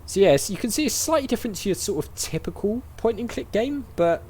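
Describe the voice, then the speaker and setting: A man's voice: high-pitched, lively intonation, a little fast, mellow, faint music behind. A man hosting a gaming video, giving casual let's play commentary and reading dialogue options aloud.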